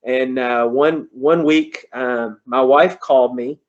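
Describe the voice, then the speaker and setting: A man's voice: mid-range voice, fairly even intonation, slow. Folksy Kentucky man on a dull video call. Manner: folksy, conversational, says y'all. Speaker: guest presenter, engineer. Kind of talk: online presentation. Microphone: computer on a video call.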